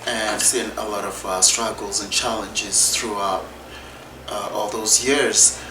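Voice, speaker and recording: A man from Zimbabwe and South Africa telling his and his wife's story in a measured, hesitant way. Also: lowish voice, steady tone. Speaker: husband sharing their infertility story. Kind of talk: personal storytelling. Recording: roomy, distant, noisy.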